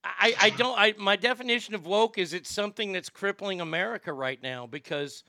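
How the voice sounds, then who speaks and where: High gravelly man, dry close sound. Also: brisk, sharp tone, a little hiss or hum. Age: 50s. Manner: easygoing, reads stories aloud. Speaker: host. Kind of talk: casual chat.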